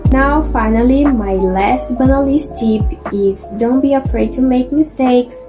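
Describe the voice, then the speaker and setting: Brazilian woman chatting over loud music. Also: sits high, unhurried. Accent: Brazilian Portuguese. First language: Portuguese. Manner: relaxed and encouraging, chatty with many fillers. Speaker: vlogger. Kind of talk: casual chat. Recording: loud music bed under the voice.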